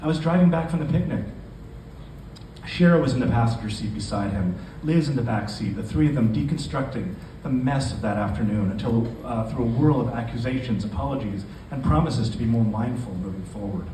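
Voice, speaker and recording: A man's voice: medium pitch, a little fast, few pauses, mellow. A man, a novelist, speaking to introduce and read his fiction at a live indoor literary event. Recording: echoey room from a distance, noisy.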